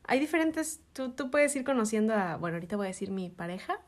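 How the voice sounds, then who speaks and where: Woman, Mexican accent, co-host, teacherly, animated. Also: mid-range voice, fast. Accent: Mexican Spanish. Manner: animated, teacherly, laughs often. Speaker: podcast co-host. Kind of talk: casual explanatory chat.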